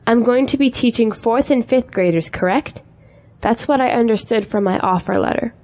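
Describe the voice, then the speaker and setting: A woman's voice: a little high, moderate pace, a little mellow, natural voice. A woman playing an eager, idealistic young schoolteacher in an audio drama, with an earnest, polite way of talking. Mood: concerned, hesitant.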